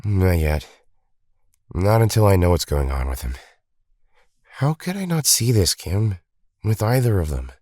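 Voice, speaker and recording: Man acting an earnest hero, low lively voice. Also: unhurried, pauses often, dry recording, clean studio sound, full character voice. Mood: troubled. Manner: earnest, questioning. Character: earnest young superhero team leader, troubled, conscientious. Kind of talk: audio drama acting.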